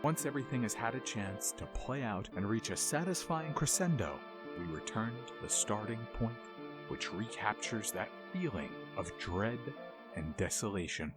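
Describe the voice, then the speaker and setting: A man narrating a scripted video essay as its host, with an expressive, dramatic storytelling delivery. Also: lowish voice, normal speed. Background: music under the voice.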